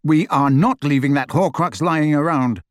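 A man's voice: on the high side, moderate pace, no room sound, clean studio sound, lightly acted. A man voicing a shaken teenage boy wizard who answers defensively and firmly. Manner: defensive, firm.

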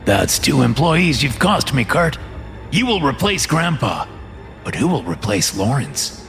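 Horror audio villain, played by a male narrator: big controlling boss, gravelly, reasoning coldly, deliberate. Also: medium pitch, sing-song, fairly bright tone, soft background music, heavily acted. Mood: cold, menacing. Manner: measured, reasoning, coldly persuasive. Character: fat, sinister male boss, self-justifying and controlling. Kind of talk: audio horror story character.

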